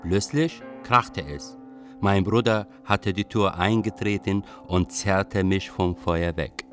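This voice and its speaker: A man playing a troubled young Iraqi refugee, narrating deliberately in a literary radio drama. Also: low voice, fairly bright tone. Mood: quietly yearning.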